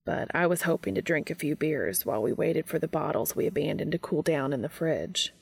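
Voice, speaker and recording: A very gravelly, low-pitched man voiced by a woman from a romance audiobook. He is a quietly pleased lover, polite and slightly formal, with a teasing streak. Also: lively intonation, fairly quick, heavily acted. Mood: hopeful.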